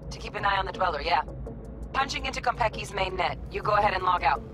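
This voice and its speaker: An actress playing a no-nonsense netrunner in a video game, giving terse, bossy instructions with a sardonic edge. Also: female voice, medium pitch, fast talker, lightly acted. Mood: focused, instructing. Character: brisk, no-nonsense female netrunner directing a heist. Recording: music behind the voice.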